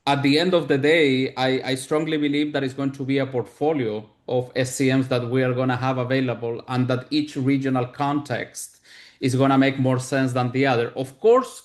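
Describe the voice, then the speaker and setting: A man from Chile with a Chilean Spanish accent, a native Spanish speaker, answers technical questions as a researcher in a deliberate, explanatory way, often repeating words as he searches for phrasing. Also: mid-range voice, steady tone.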